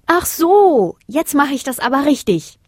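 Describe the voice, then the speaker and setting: A woman's voice: on the high side, big pitch swings, slow, warm tone, husky, no room sound, clean studio sound, voice pushed up, heavily acted. A woman voicing a curious, eager, easily delighted little girl in a children's story.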